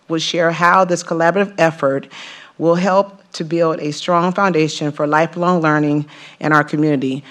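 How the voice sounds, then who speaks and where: A woman, an assistant superintendent, giving a measured, formal introduction at a school board meeting. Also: low voice.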